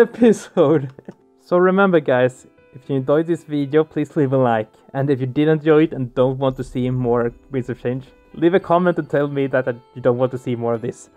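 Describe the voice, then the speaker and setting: Let's play host, male: slightly high, reactive and expressive, reading story text over light music.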